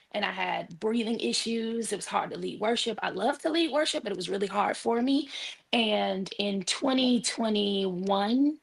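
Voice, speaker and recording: An earnest woman in her forties telling her story in a panel conversation. Her voice has heavy fry and a husky, breathy edge. She talks in steady, heartfelt testimony on a remote call. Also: medium pitch. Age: 40s. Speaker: worship leader and singer. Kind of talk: casual panel chat.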